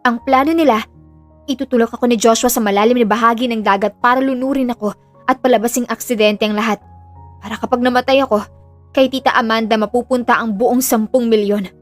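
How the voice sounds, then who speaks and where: A woman's voice: on the high side, fairly even intonation, fast, close to an everyday voice. A woman playing an earnest, burdened young woman in an audio drama, confiding in a subdued way with a Filipino accent. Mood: grave, resolved. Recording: music under the voice.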